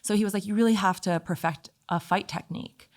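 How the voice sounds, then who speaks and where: A woman from Italy talking as an interview guest, telling stories in a casual, animated, informal way. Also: medium pitch, brisk. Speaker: podcast guest, fitness instructor. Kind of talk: casual conversational storytelling.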